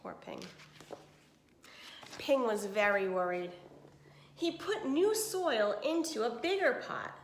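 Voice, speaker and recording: A woman with a fairly high voice reads a children's story like a teacher. She tells it with expression and pauses for explanations and questions. The room around her is clearly echoey. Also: slight background noise. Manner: animated storytelling, pausing to explain and question listeners. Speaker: teacher reading a picture book aloud. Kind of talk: expressive children's read-aloud with asides and explanations.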